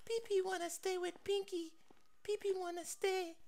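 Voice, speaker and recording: A woman voicing a tiny, childlike, clingy pet companion in an animated game parody, speaking in repetitive baby talk. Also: extremely high pitch, normal speed. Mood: clingy, pleading. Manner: baby talk, repeats words, third person.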